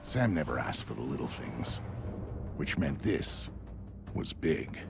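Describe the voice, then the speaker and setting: An actor playing a weary, loyal middle-aged ex-military man, narrating a game story in a gravelly voice. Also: a bass voice, big pitch swings, slow, exaggerated voice. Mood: grave, reflective. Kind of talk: scripted dramatic narration. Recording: music under the voice.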